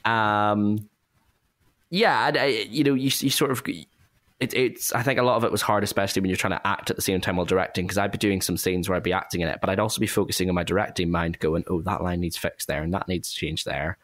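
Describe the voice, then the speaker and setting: A man's voice: sits low, steady tone, a little fast, a bit bright, no room sound, studio quality. A man hosting a casual behind-the-scenes chat as a director, talking in a chatty, self-deprecating way. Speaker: director and actor hosting a cast discussion.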